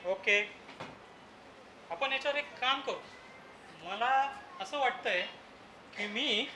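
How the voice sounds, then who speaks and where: Hapless jokey husband played by a man, bright forceful voice, emphatic stage delivery, echoey auditorium. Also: high voice, heavily acted. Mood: resolved. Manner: emphatic, jokey, drops in English phrases. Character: joking, hapless office-working husband and father. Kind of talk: theatrical comic stage acting. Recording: echoey auditorium with faint noise.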